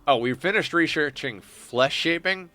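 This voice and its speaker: A man's voice: fairly high pitch, a little slow, pushed and bright. Stuffed-up man musing over a game. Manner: rambling, self-correcting, wry. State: stuffed-up nose. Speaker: streamer. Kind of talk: casual gameplay commentary.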